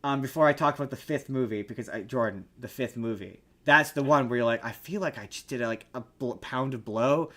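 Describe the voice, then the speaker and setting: A man's voice: medium pitch, fairly quick, hardly any pauses, pushed and bright. A man casually discussing movies as a podcast host, emphatic and opinionated in how he argues his points.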